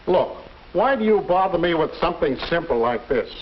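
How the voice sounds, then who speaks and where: A man's voice: fairly high pitch, big pitch swings, moderate pace. An actor playing an impatient, scolding male boss in a 1950s educational film with old soundtrack sound. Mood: exasperated. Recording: dull old film soundtrack, faint noise.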